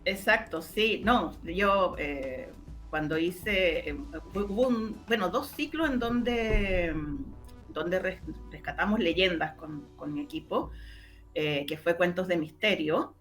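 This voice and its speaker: A middle-aged woman with a Chilean accent, telling stories conversationally as an interview guest, often pausing to find her words. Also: lowish voice, expressive, fairly bright tone, faint music behind. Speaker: interview guest, radio drama maker and journalist.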